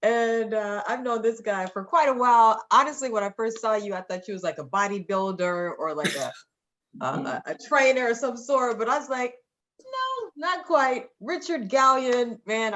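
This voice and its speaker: A woman's voice: medium pitch, fairly bright tone. Female interview host, mid-range voice, playful, effusive, conversational delivery. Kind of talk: casual, chatty live interview.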